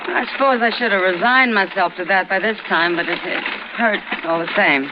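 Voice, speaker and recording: A woman's voice: medium pitch, a little fast, close to an everyday voice. An actress playing a weary, wistful frontier woman in a 1950s radio drama, speaking wryly. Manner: wistful, wry. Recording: muffled old radio broadcast with hiss.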